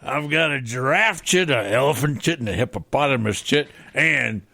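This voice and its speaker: A man's voice: mid-range voice, expressive, normal speed, creaky, pushed and bright, no echo, exaggerated voice. A man playing a boastful old-west handyman rival in a comedy radio skit, talking in a folksy, competitive way. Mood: competitive boasting.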